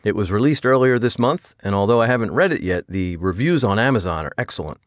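A man's voice: on the low side, animated, fast, no echo, studio quality. A man hosting a podcast, reading a scripted introduction to the show. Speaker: podcast host.

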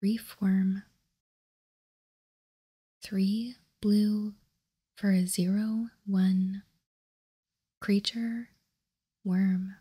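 Female ASMR host, very breathy whisper, mellow and even, calmly walking through trading cards. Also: medium pitch. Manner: soft, slow, measured, calming. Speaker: host of a card ASMR channel.

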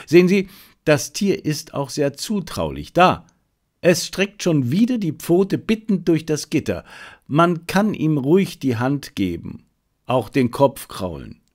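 A man's voice: on the high side, big pitch swings, a little slow, darkish tone. A male audiobook reader voicing a talkative, animal-loving zookeeper who explains things chattily. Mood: friendly, explaining. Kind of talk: audiobook character dialogue.

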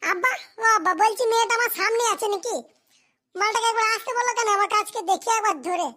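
Squeaky, brash young cartoon bridegroom. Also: bright, forceful tone. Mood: eager, impatient. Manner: crude, blunt, rapid complaining. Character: brash, foul-mouthed, impatient young bridegroom. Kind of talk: comedy cartoon dialogue.

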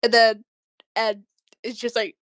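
A woman's voice: fairly high pitch, flat delivery, measured pace, a bit bright, dry recording, studio quality. A young woman in casual voice-acting outtakes, goofy and giggly as she tries out lines. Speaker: amateur voice actor. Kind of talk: playful casual chat and line attempts.

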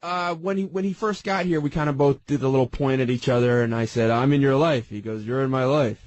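A man chatting casually as a radio panel regular, halting and searching for his words. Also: mid-range voice, dry recording. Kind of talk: casual chat.

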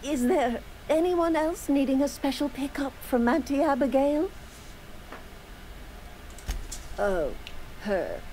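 A sweet, fussing older auntie from a video game. Her voice sits high and moves up and down a lot, full of cooing pet names, with faint noise behind it. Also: expressive, a little slow, mellow, low-quality recording. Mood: coy, confiding. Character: sugary older auntie dispensing pills at a clinic. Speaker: dispensary pharmacist. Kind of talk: video game character acting.

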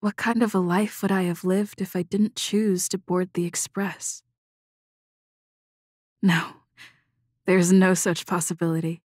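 A woman playing a young space traveler in a video game, calm, reflective and resolute, speaking scripted lines in a measured, thoughtful manner. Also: on the low side, husky, no echo, clean studio sound.

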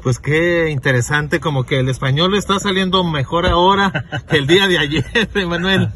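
A man's voice: a little high, moderate pace, pushed and bright, husky. A man interviewing a preacher for a live transmission, laughing easily and speaking in a devout, easygoing way. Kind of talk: broadcast interview hosting.

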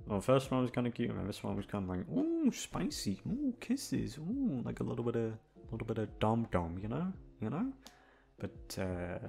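Casting: young male voice actor in casual outro chat, low-pitched, creaky, rambling and unsure of himself. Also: lively intonation, fairly quick, a little mellow. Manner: rambling, self-conscious, hesitant. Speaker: audio roleplay voice actor.

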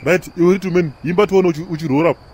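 A man's voice: high-pitched, rapid-fire, darkish tone, slightly rough, dull audio. A man voicing a stern, swaggering Zimbabwean police officer who blusters and interrogates in a comedy cartoon. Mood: irritated, scolding.

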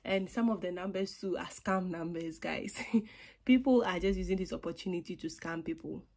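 Husky-voiced woman vlogging. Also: on the low side, normal speed, darkish tone. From Ghana. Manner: chatty, drops to a whisper at times, laughs between points. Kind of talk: casual vlog to camera. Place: small bedroom, indoors. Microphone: phone camera on a table.

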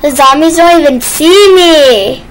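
A woman's voice: high voice, a little slow, low-quality recording. A woman chatting casually while playing a video game. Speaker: gamer playing a role-play game. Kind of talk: casual gameplay chat. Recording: faint background noise.

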